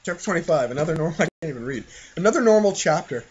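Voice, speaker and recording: Giggly, high-pitched man reading aloud. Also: animated, rapid-fire. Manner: giggly, self-mocking, foul-mouthed. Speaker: podcast host. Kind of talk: reading own childhood fan fiction aloud with casual banter. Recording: muffled, noisy home podcast recording.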